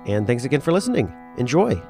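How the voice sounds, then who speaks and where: A man's voice: mid-range voice, very animated, quick pace, warm tone, soft background music. A man presenting an audio theater podcast, giving introductions and credits as its announcer.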